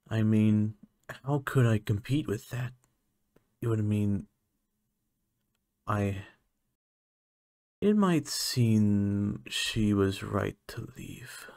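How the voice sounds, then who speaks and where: A man's voice: fairly low pitch, darkish tone, no room sound, studio quality. A man playing a lonely, earnest alien scientist in an ASMR roleplay monologue, talking in a hesitant, musing way. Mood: doubtful, musing.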